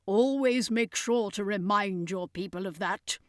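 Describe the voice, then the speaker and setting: A woman's voice: medium pitch, measured pace, no room sound, studio quality, close to an everyday voice. A woman voicing a boastful, theatrical male circus master in an audiobook, pompous and proclaiming. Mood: earnest advice.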